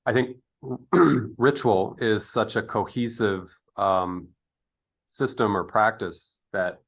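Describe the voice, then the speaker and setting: A man in his fifties with a slightly low voice. He hosts a casual, reflective conversation and feels his way through sentences, often halting to hunt for the right word. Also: fairly even intonation, fairly bright tone. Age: 50s. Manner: halting, searching for words. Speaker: interview host.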